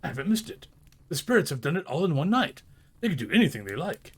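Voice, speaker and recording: An overjoyed old reformed miser from a storybook, acted out by a man, gushing like an excited child. The pitch leaps and swoops freely as he whoops and exclaims breathlessly. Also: fast, breathy edge, full character voice. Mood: excited, eager. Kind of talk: dramatised storybook reading.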